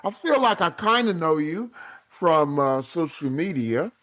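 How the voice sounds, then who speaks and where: Animated New man hosting a podcast. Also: a little high, unhurried. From Brooklyn, New York. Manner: enthusiastic, with frequent uh fillers. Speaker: podcast host. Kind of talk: interview podcast over video call. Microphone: video call.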